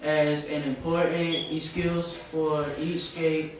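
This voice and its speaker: Slow, halting seventh-grade boy in echoey room. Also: a little high, flat delivery. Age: 12-13 years old, seventh grader. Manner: halting, stumbles over words and corrects himself. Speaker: student contestant giving a speech. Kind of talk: read-aloud school speech. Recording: echoey room from far off, noisy background.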